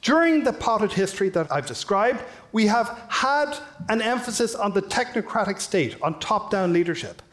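An Irish academic man delivers a public lecture in a measured, thoughtful way, often pausing on small fillers. His voice sits fairly high for a man. The sound carries a roomy echo. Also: expressive, a bit bright. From Ireland. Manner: measured, thoughtful, with frequent "uh" and "sort of". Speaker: academic speaker.

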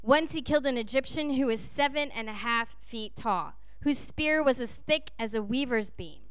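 A woman's voice: fairly high pitch, expressive, moderate pace, a bit bright, clean tone, no echo. A woman from Texas preaching in short phrases, with a conversational, playful storytelling manner. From Texas, United States. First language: English. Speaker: guest preacher. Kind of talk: sermon delivered in short phrases for an interpreter.

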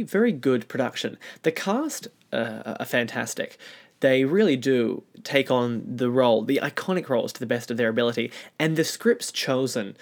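A young man, presenting a podcast in a conversational style. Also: medium pitch. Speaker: podcast host and reviewer.

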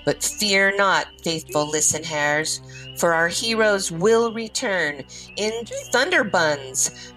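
A grand, theatrical radio serial announcer played by a woman, speaking slowly and formally. Her voice is on the low side and forceful, with light music behind. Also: animated, rough recording. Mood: mock-grand.

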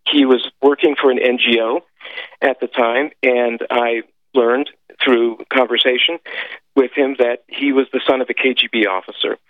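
A man whose first language is French, a guest telling his story in a radio interview, pausing often with filler sounds and restarts. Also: medium pitch, steady tone, dry recording. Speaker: guest, former intelligence officer. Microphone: phone call. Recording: tinny phone line, dry.

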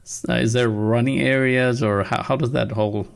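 A young man from Nepal hosting a casual interview, asking halting questions with frequent restarts. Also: mid-range voice, fairly even intonation, vocal fry. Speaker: podcast host.

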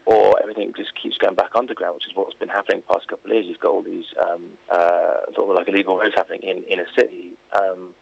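Low, gravelly husky man, informal and opinionated. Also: little pitch movement, fast, few pauses. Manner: informal, opinionated, rambling with frequent "you know" and "like". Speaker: interview guest. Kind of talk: casual radio interview. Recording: dull, lo-fi phone-like radio link.

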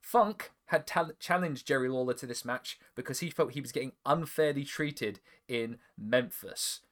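Man, English estuary accent, podcast host, casual chat, chuckles often, mid pitch. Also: normal speed. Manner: chatty, laughs often, stumbles and restarts.

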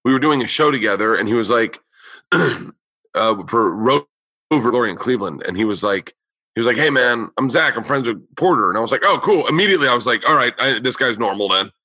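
A man's voice: mid-range voice, lively intonation, fairly quick, pushed and bright, no echo. A man hosting a podcast, telling stories in a loose, profane way and acting out what people said. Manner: loose, profane storytelling with quoted voices. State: losing his voice. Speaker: podcast host, comedian. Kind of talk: casual chat.

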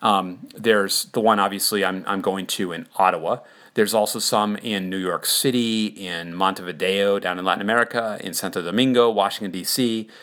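Male podcast host, fairly low pitch, informal and conversational with an enthusiastic delivery. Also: steady tone, normal speed, a bit bright. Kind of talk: casual informal monologue about an upcoming event.

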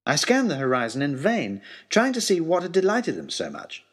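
An English man tells a period adventure tale in the first person. His voice is fairly high and lightly husky, with very lively intonation. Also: normal speed. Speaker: storyteller.

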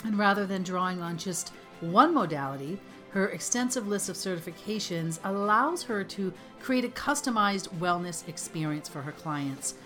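A woman's voice: medium pitch, lively intonation, normal speed, few pauses, pushed and bright, faint music behind. A woman hosting a podcast interview, upbeat and affirming toward her guest. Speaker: podcast host.

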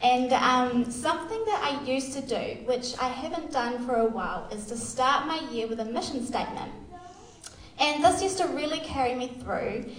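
A woman's voice: high-pitched, animated, normal speed, a little hiss or hum. A woman, giving a candid, self-deprecating church testimony full of fillers. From Australia. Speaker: youth worker sharing testimony. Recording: echoey hall, voice far from mic.

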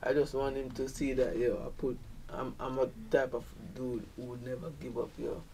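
A man's voice: medium pitch, flat delivery, normal speed, mellow, recorded from a distance, slight background noise. A man with a thick Jamaican accent, a dancehall musician talking casually as a guest, leaning on "man" and "you feel me". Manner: laid-back, peppers talk with "man" and "you feel me". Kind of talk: casual interview chat.

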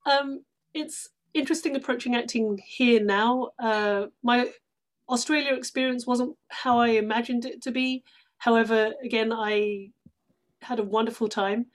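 A middle-aged woman with a London accent talks as a guest on an interview show. Her voice sits a little high. She tells her stories in a casual, conversational way. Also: steady tone, unhurried. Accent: London English. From London, England. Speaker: interview guest, actress and theatre workshop director.

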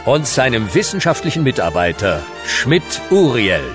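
A man's voice: medium pitch, very animated, moderate pace, close to an everyday voice. A male actor narrating a radio comedy as a dramatic, mock-epic storyteller. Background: music.

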